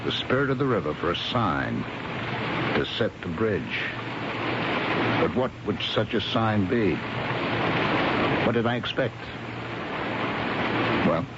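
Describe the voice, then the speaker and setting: An American actor playing a stubborn, superstitious, gruff bridge engineer and husband in a 1970s radio drama. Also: male voice, on the low side, expressive, slow, long pauses. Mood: calmer, reflective. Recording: muffled, very noisy old radio broadcast.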